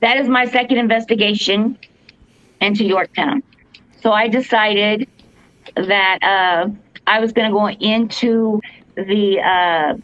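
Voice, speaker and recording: A woman with a fairly deep voice tells her story earnestly, stopping and restarting, with plenty of fillers along the way. The recording is dull and lo-fi, and it sounds very dry, with no room echo. Her pitch sits in the middle for a woman. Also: a bit bright. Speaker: podcast co-host, paranormal investigator. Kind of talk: casual storytelling. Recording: dull lo-fi podcast audio, dry.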